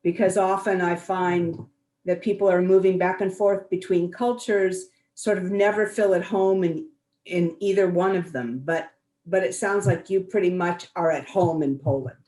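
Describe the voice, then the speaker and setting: A woman's voice: fairly low pitch, steady tone, moderate pace, mellow, roomy sound. A woman moderating a film festival Q&A in a conversational interviewing style.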